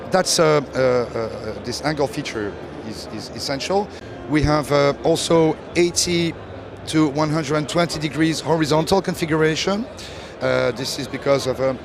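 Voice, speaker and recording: A man giving an unscripted, technical product presentation as a company representative, explaining things in a halting way. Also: a little high, background noise. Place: exhibition stand at a trade show. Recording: busy trade-show hall with crowd murmur.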